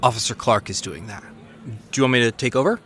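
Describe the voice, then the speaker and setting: A man's voice: mid-range voice, fast, a bit bright, breathy edge. A man playing a young, eager junior police detective in an audio drama. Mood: helpful. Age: young adult.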